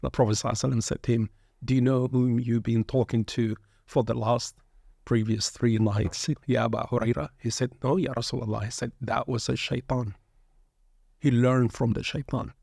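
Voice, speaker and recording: Male shaykh, gravelly and level, preacherly podcast guest on a dry mic. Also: mid-range voice, little pitch movement, a little fast, darkish tone, no echo. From born in England, raised in Sudan. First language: Arabic. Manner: earnest, preacherly, peppered with Arabic phrases. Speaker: guest shaykh, pilgrimage group leader. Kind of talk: reflective religious podcast talk.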